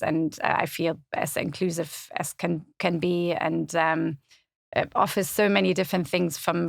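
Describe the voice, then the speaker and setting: A woman with a German accent speaks in a low, gravelly voice, talking through her thoughts with many ums and restarts. The studio sound is close and dry, with no room echo. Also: fairly bright tone. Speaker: podcast guest, community website founder. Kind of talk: casual interview chat. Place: podcast studio.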